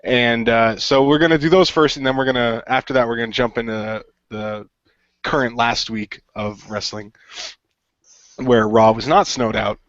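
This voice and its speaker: A man's voice: mid-range voice, fairly even intonation, moderate pace, fairly bright tone, dry recording. A man hosting a casual talk podcast, congested from a cold, laughing often and using lots of fillers. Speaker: podcast host. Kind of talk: casual chat. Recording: muffled dry lo-fi audio.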